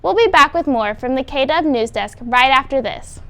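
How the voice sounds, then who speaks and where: A woman with a high voice anchors a student news show. She delivers scripted introductions and sign-offs. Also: animated, moderate pace, a bit bright, a little hiss or hum. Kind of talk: scripted newscast links.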